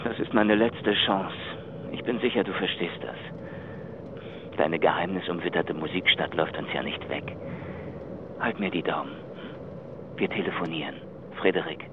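An actor playing a hurried, apologetic man calling his lover in a German radio drama, as an answering machine message. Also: deep voice. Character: hurried, apologetic male lover leaving message while travelling. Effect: answering machine phone message. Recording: phone line with traffic noise.

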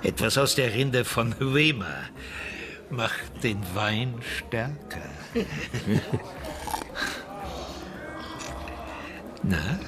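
An actor playing a sly, philosophical village medicine man in a German radio drama, speaking in a probing, deliberate way. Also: mid-range voice, animated. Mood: sly, inviting. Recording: music behind the voice. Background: music.

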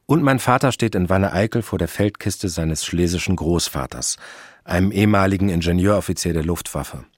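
A man narrating a radio drama about his family in a calm, matter-of-fact way. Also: deep voice, fairly quick, no room sound, studio quality. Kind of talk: scripted narration.

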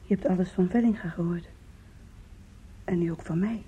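An actress playing an anguished young woman in a Dutch radio play, confiding in her lover with halting, intimate emotion. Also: lowish voice, warm tone, slight background noise, natural voice. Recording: dull, muffled old radio recording.

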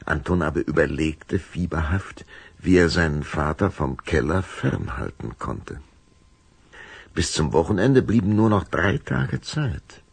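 Male narrator for children's radio play, deep and creaky, expressive delivery. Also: unhurried, no room sound. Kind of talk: scripted audio drama narration for children.

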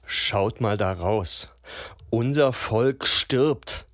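An actor playing a defiant young leftist activist on trial in a radio drama, sardonic and impassioned. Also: male voice, medium pitch, extremely slow, fairly bright tone, dry recording, clean studio sound. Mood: impassioned, angry. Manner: impassioned, sardonic, swings from muttering to declaiming. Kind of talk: radio drama monologue and retorts.

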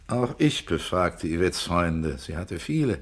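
An actor playing a shrewd police investigator in a German radio crime drama, speaking in a measured, precise, clipped and insistent way. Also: male voice, low-pitched, very animated, full character voice. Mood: probing, cornering. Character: shrewd male police investigator, coolly cornering a suspect.

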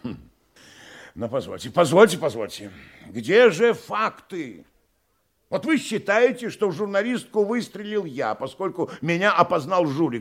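An actor playing an elderly murder suspect in a radio drama, sly and defiant, with a theatrical, mocking delivery. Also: male voice, on the high side. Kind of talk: Russian radio drama acting.

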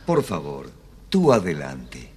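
Very animated crafty young hero. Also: male voice, a bass voice, takes it slowly, slightly rough, heavily acted. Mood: sly. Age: young adult. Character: crafty young musician hero, teasing and confident. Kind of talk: dramatized children's storybook record.

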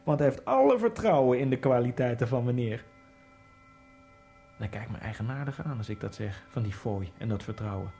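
An actor playing a nervous, wryly ironic Egyptian immigrant, narrating his thoughts in a hushed first-person monologue. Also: mid-range voice, expressive, normal speed, vocal fry, slight background noise. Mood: brooding. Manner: hushed, brooding inner monologue.

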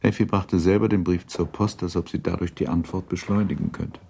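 A man's voice: sits low, steady tone, a little fast, gravelly, mellow, a little hiss or hum. A man narrating a literary radio drama in a composed, scripted storytelling style.

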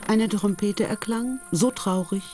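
An actor narrating a literary chivalric tale in a radio play, in a measured storytelling manner. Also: male voice, high voice, darkish tone, soft background music.

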